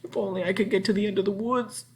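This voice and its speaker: Here is a scared ten-year-old girl from a storybook, acted out by a man. The voice is pushed well up in pitch, pleading and breathy with urgency. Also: steady tone, quick pace, a little hiss or hum, natural voice. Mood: desperate, urgent. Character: frightened ten-year-old girl on a lonely winter trek. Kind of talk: children's storybook read-aloud.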